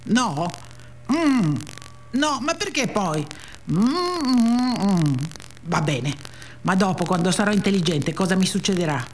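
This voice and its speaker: A woman's voice: mid-range voice, animated, takes it slowly, a little hiss or hum. A woman giving a dramatic reading as an ironic, scatterbrained woman who muses aloud and questions herself in a colloquial monologue. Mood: puzzled musing. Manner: musing, self-questioning, colloquial.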